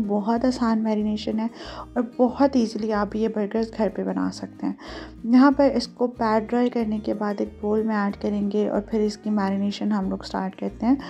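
A woman's voice: mid-range voice, vocal fry, mellow, highs cut off. A woman giving conversational recipe instructions as a home cooking host, mixing English words and phrases into her speech.

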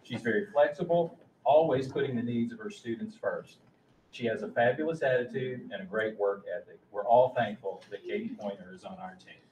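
A man's voice: mid-range voice, fairly quick. Middle-aged male official, formal presenter reading aloud, picked up from far off in an echoing room. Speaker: school official presenting staff and student awards. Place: large meeting room, indoors. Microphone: distant room microphone. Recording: roomy, distant mic, faint noise.

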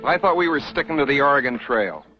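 Dude playing a sarcastic fortune-hunting pioneer, lively voice, music under it. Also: male voice, mid-range voice, fast talker, lightly acted. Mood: wry. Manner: wry, sarcastic. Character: scheming young male pioneer chasing fortune. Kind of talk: 1950s TV western acting. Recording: dull old TV soundtrack with music.

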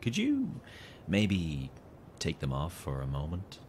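Audio drama role: smooth-talking admirer, very deep, pushy charmer, slight noise behind. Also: male voice, slow, exaggerated voice. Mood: playful, teasing. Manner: flattering, insistent. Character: smooth-talking, coaxing male fan with hidden menace. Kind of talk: naturalistic audio drama acting.

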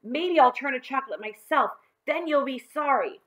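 A woman's voice: high-pitched, expressive, moderate pace, fairly bright tone, voice pushed up. A woman voicing an earnest, self-pitying schoolboy who protests and pleads in a children's storybook. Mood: defiant. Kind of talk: children's storybook read-aloud.

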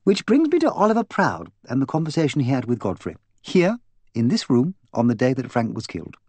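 A man's voice: medium pitch, sing-song, brisk, a little mellow, slightly rough, dry recording. A man voicing an imperious, gossipy, opinionated English woman in an audiobook, bossy, emphatic and voluble. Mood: gossipy, confiding. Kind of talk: audiobook character dialogue.

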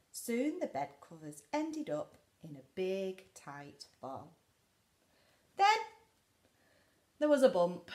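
A woman in her forties reads a picture book aloud to young children in a dramatic way, often dropping to a hush. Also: fairly low pitch. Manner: dramatic, often drops to a hushed whisper. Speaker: school storyteller. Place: living room indoors by a window.

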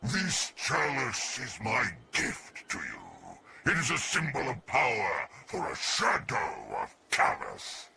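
An actor playing a pompous, decadent alien emperor in a video game, with a gravelly, booming, theatrical voice that slides down to whispers as he savors his words. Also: huge pitch swings, takes it slowly, hardly any pauses, pushed and bright, a little hiss or hum, heavily acted. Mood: gloating. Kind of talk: video game cutscene dialogue.

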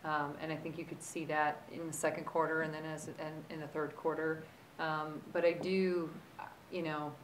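A woman basketball coach in her thirties answering press conference questions at a table microphone, steady and reflective, often restarting her sentences. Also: sits low, slight background noise, poor audio. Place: press conference room with sponsor backdrop.

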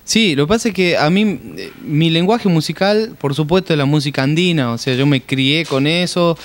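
A man, a folk musician chatting relaxed and playful in a casual interview. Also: a little high, moderate pace. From Jujuy, Argentina. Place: small room, indoors, seated on couch. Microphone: handheld mic held close.

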